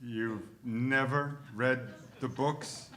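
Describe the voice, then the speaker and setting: A man playing a geeky, excitable fantasy-fan cop in a live cartoon table read, fussy, pedantic and mock-formal. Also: mid-range voice, extremely slow. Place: theatre stage before an audience.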